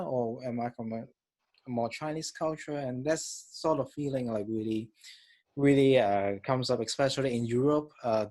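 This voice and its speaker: A man's voice: mid-range voice, darkish tone. A man from Hong Kong commenting to an artist, hesitant, with many ums and uhs. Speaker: audience member. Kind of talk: casual comment.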